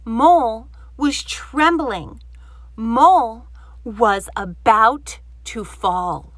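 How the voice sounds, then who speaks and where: A woman storyteller reading a children's picture book aloud in an expressive narrating style. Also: fairly high pitch, drawn-out words, no echo, studio quality.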